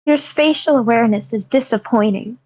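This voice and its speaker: Woman as a high-pitched, defiant witch apprentice. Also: fairly quick, a little mellow, no room sound, close to an everyday voice. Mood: sullen. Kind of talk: screenplay table read. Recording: muffled online meeting audio.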